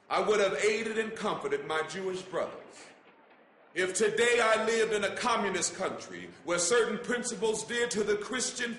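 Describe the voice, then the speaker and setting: A man gives a formal, measured reading of a written letter as solemn, emphatic oratory. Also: high-pitched, a bit bright, a little hiss or hum. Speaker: reader. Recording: roomy, echoing sound.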